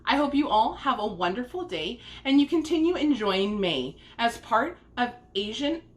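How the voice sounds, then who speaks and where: A woman reading a picture book aloud as a story time host, expressive and speaking to young listeners. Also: fairly high pitch, normal speed. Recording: echoey room with faint background noise.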